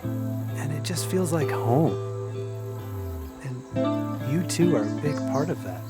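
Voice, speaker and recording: An actor playing a thoughtful, gentle young older brother in an audio drama, speaking earnestly and reflectively. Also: male voice, a little high, lively intonation, slow. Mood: sincere, reflective. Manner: earnest, reflective, wordy phrasing. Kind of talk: audio drama dialogue acting. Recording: music and crickets behind the dialogue.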